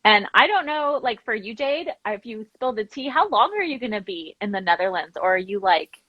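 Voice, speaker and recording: A woman's voice: mid-range voice, animated, brisk, dry recording, highs cut off. A woman in her thirties from Los Angeles who founded a family language program, chatting over a video call and laughing often. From Los Angeles, USA. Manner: bubbly, laughs often, many "like" fillers.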